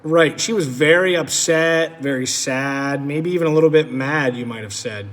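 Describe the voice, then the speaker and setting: A man teacher reading a picture book aloud to children with animated storytelling, then asking them questions about it. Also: a little high, moderate pace. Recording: echoey room with long reverb.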